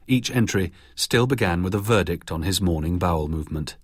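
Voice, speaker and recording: A man narrating a biographical documentary in a measured, even reading. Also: sits low, slightly rough, dry recording, clean studio sound.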